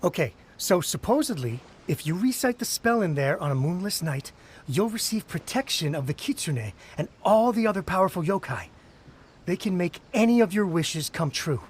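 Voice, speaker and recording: A man's voice: sits high, expressive, normal speed, breathy edge. Eager gossiping townsman. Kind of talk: video game voice acting.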